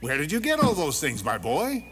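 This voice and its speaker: A man voicing a stern but caring aging woodcutter father in an animated fairy tale. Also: a little high, moderate pace. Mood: astonished. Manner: scolding, grumbling, fatherly. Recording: music under the dialogue.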